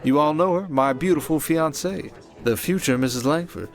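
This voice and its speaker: A man's voice: on the high side, moderate pace, close to an everyday voice. Man acting a suave, showy conman over music. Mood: grand, suave. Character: smooth, showy male conman posing as high-rolling heir. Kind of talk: scripted audio roleplay acting. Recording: loud music under the voice.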